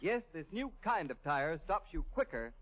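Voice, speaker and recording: Booming vintage radio announcer, man. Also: sing-song, moderate pace. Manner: booming, salesy delivery. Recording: muffled vintage radio broadcast with music.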